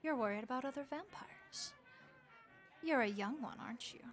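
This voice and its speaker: Woman voicing a friendly high-voiced vampire innkeeper. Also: very animated, normal speed, soft background music. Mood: gently reassuring. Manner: chatty, gentle, reassuring. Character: friendly, motherly female vampire innkeeper, reassuring. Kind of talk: audio roleplay addressed to the listener.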